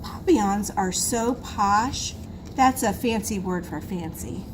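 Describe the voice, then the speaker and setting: A woman reading a children's picture book aloud in an expressive, emphatic storytelling manner. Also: medium pitch, slow. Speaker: school assistant reading to pupils. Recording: noisy room recording.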